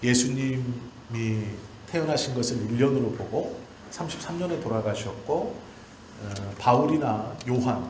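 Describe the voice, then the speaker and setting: Man preaching in an echoey room. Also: mid-range voice. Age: 40s. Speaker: pastor. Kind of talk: sermon. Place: church hall during a service. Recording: roomy church echo, faint background noise.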